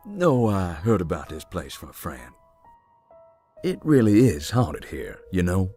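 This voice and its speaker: A man's voice: deep voice, sing-song, a little slow, dark tone, breathy edge, faint music behind, full character voice. A man playing a cagey, teasing ghost hunter in a visual novel, with a secretive manner. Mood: sly, amused.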